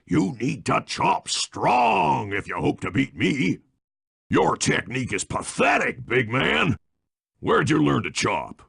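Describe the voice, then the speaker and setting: An actor voicing a boastful, bullying old-time lumberjack villain in a cartoon game, raspy and taunting with a folksy rustic North American drawl. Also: male voice, mid-range voice, wildly swooping pitch, slow, fairly bright tone, full character voice. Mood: mocking, gloating. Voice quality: gravelly, raspy.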